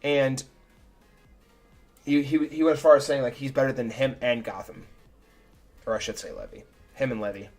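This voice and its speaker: A young man, a chess streamer, talking casually and self-critically through his own game, his voice a little raspy. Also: medium pitch, fairly even intonation, moderate pace, long pauses. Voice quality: slightly raspy. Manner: chatty and self-critical, thinking aloud move by move. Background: faint background music.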